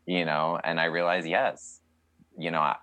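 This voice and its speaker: Low-voiced young man, chatty and candid. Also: level tone, moderate pace, bright, forceful tone, smooth, dry recording. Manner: chatty and candid, many likes and fillers. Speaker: guest, country singer. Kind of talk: casual interview answers.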